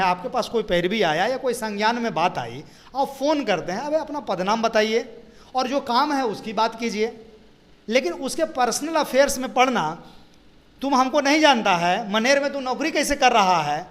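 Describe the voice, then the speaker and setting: A man with a Bihari accent speaks in a high-pitched voice as a political spokesperson. He argues his points in an interview style, repeating phrases for emphasis and throwing out rhetorical questions. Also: lively intonation, normal speed, a bit bright.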